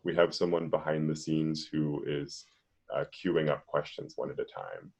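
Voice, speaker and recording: A man's voice: rumbling low, moderate pace, creaky, darkish tone, breathy edge. A man moderating an online panel over a video call, explaining things steadily with frequent "um"s. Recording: muffled, lo-fi video call audio.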